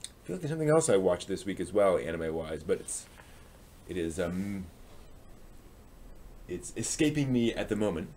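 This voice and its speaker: Low-pitched man chatting on a livestream. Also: big pitch swings, a little mellow, slight background noise. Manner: rambling, halting, thinking aloud. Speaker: live stream host. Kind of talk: casual livestream chat, answering viewers' comments.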